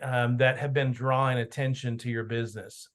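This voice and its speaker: A man in a medium-pitched voice talks loosely over a video call, drifting into a story and throwing praise around. He sounds like the leader of the meeting, calling on people by turn. His delivery is relaxed and conversational. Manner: chatty, rambling, quick to praise. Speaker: team leader hosting a meeting. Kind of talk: casual meeting chat.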